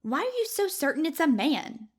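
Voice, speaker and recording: A woman's voice: sits high, very animated, normal speed. A woman playing a brash, self-important young amateur video detective in an audio drama, bragging and accusing theatrically. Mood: smug, accusing.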